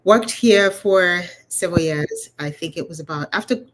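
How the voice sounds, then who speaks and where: A woman with a low-pitched voice talks informally about her own experiences. She is a chatty interview guest, and there is faint noise behind her on the recording. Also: normal speed. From Uganda. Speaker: interview guest, leadership coach. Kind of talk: conversational personal storytelling.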